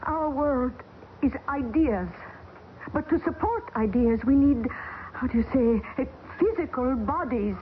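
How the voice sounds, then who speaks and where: An actress playing a calm, gently insistent woman who speaks halting, broken English in an old radio drama. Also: high-pitched. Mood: urgent. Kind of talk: 1970s radio drama acting. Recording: tinny old radio broadcast with hiss.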